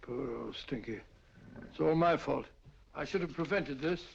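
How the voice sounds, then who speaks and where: A bluff, loyal older doctor with a gravelly, slightly high voice. He sounds earnest and easily flustered. The recording is dull and lo-fi, with a faint hiss underneath. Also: unhurried.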